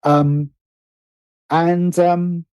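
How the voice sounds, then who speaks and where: A man's voice: on the high side, little pitch movement, extremely slow, a little mellow, clean tone, no room sound. A British man speaking as a podcast guest in casual conversation, hesitant and thoughtful as he circles around his points. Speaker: podcast guest, writer and producer.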